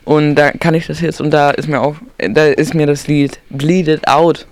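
A man's voice: a little high, flat delivery, moderate pace. A young man, chatting casually as a co-host in an understated way. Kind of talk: casual chat.

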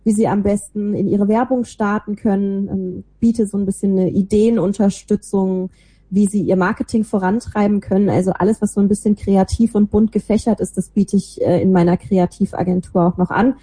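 A woman's voice: mid-range voice, steady tone, a little fast, dark tone, husky. A woman being interviewed, chatting casually and in a self-deprecating way about her own life and work. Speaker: interview guest, podcaster and small creative agency owner.

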